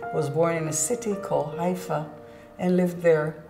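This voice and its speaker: A woman in her sixties with an Israeli accent, calmly recounting her own life journey in an earnest, measured way. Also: low-pitched, creaky. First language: Hebrew. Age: 60s. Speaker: presenter telling her life story. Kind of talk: reflective personal narration. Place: indoor studio set with curtain backdrop. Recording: roomy echo with faint background music.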